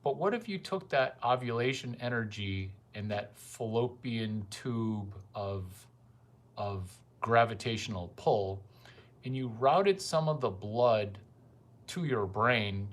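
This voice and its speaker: A man's voice: mid-range voice, expressive, slow pace. Rambling, profane male vlogger. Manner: rambling and crude, with profane asides. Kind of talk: casual monologue.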